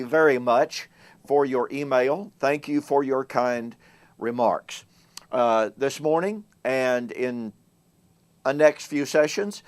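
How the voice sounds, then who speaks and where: A man hosting a Bible teaching show. He speaks in a measured way, slowing down and growing louder to stress key words. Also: mid-range voice. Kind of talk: solo Bible teaching talk to camera.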